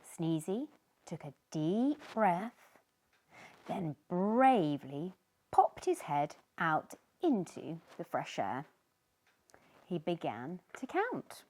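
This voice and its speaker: A woman reading a children's story aloud as host, with animated, dramatic emphasis. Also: on the low side, slow.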